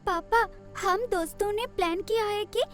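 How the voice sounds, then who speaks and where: Very high-pitched eager college girl. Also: normal speed, a bit bright, faint music behind. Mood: hesitant, explaining. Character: eager teenage college girl, pleading and excitable daughter. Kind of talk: Hindi cartoon story voice acting.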